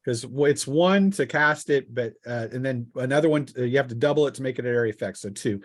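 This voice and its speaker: A man's voice: medium pitch, quick pace. A man running a tabletop role-playing game as game master, informally narrating combat and explaining rules on an online call. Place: home, online group call. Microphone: close microphone on an internet call.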